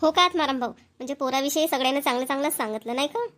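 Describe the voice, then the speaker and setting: Lady doing a practical cartoon village auntie, vidarbha marathi accent, high voice, talks really fast, kinda pushy n chatty. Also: a bit bright. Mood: curious. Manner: chatty, insistent, colloquial. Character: eager, practical village wife and family elder. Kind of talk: homemade cartoon dialogue.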